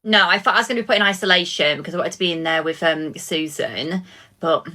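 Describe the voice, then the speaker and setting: A woman's voice: on the low side, fast talker, bright, forceful tone. A woman voicing a brash teenage schoolgirl who talks casually and giggles. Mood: sulky.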